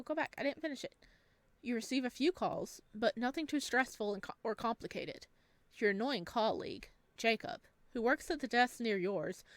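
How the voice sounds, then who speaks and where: A woman hosting a let's play, reading game text aloud and chatting to viewers with bubbly, expressive reactions. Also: a little high, dry recording. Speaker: gaming video host.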